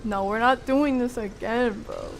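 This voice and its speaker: High-pitched woman streaming a horror game. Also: measured pace, a little hiss or hum. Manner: excitable, slangy, exclaiming and whining in frustration. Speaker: live streamer playing a horror video game. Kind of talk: casual gaming stream commentary, reacting and chatting with viewers. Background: game music.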